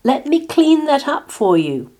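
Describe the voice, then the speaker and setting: Storybook cockroach heroine read by a woman: young, dainty, courteous female, sweetly spoken and higher than the narration. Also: very animated, unhurried, mellow. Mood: sweet, polite. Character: sweet, polite, beautiful young female cockroach, aged twenty.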